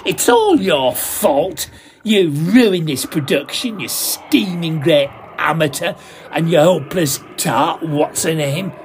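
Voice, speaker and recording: A man's voice: extremely high pitch, wildly swooping pitch, measured pace, darkish tone, a little hiss or hum, pitched above the performer's own voice, full character voice. A man voicing a drunken pantomime actor who slurs and rants indignantly. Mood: drunken ranting. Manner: slurred, ranting, indignant.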